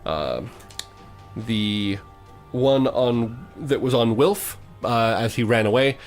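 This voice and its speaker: A man's voice: mid-range voice, animated, slow. A man acting as game master, narrating combat in a tabletop game in a matter-of-fact, slightly hesitant way. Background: music.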